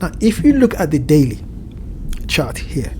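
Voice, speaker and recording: A man with a blocked nose and a slightly nasal voice, walking through a chart with measured explanations and frequent pauses to find his words. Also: fairly high pitch, sing-song, mellow, slight background noise. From Southern Hemisphere. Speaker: crypto market analyst. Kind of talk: screen-share chart walkthrough. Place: home at evening, indoors.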